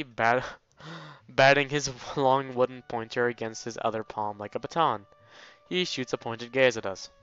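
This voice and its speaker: Clear-voiced man reading a game aloud. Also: mid-range voice, sharp tone, dull audio. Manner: jokey asides while reading. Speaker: let's play host. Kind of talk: casual visual novel read-aloud with commentary.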